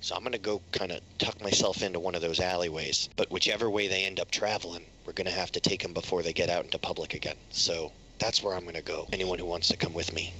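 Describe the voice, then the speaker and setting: A man's voice: sits low, fairly even intonation, fast talker, hardly any pauses, bright, forceful tone, slight background noise, low-quality recording. A man playing a tabletop game, talking casually as he plans moves with the group. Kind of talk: casual in-game planning chat.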